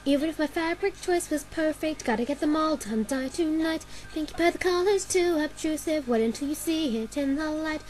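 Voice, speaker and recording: A woman's voice: sits high, normal speed, hardly any pauses, darkish tone, poor audio. A woman singing an amateur fan cover of a musical cartoon song, with music behind her. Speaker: fan singer and dubber.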